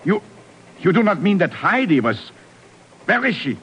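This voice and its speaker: An actor playing a stiff, formal, menacing doctor in a 1950s radio drama. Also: male voice, high voice, very animated, moderate pace, a bit bright, husky, muffled recording, heavily acted. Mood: indignant. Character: stiff, menacing European doctor, male suspect. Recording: faintly hissy old radio recording.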